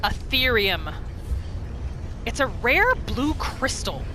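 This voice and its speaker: A woman hosting a gaming roleplay series, telling the story in short phrases with dramatic pauses. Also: a little high, background noise. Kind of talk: storytelling gameplay narration.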